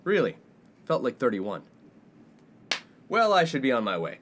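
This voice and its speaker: Man playing a glib, lively young college playboy. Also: mid-range voice, measured pace. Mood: breezy, smug. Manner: glib, breezy, self-important. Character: brash, self-absorbed, smooth-talking college playboy best friend. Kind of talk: comedic 1950s-set radio play acting. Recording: faint background noise.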